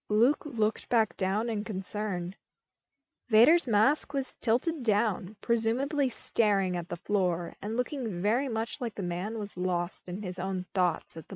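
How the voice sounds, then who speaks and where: A woman reading scripted fiction as an audiobook narrator, with expressive storytelling delivery. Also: medium pitch, dry recording.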